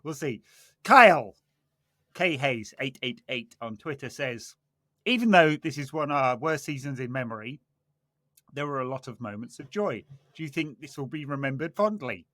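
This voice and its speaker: Man with a bright, dry-sounding voice. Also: medium pitch, animated, moderate pace, no echo. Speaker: podcast host. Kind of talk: casual chat on a football podcast.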